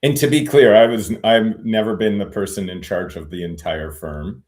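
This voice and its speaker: Deep-voiced man, reflective and meandering. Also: fairly even intonation, moderate pace, darkish tone. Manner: reflective, many fillers and restarts. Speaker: guest, retired immigration lawyer. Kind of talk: conversational interview.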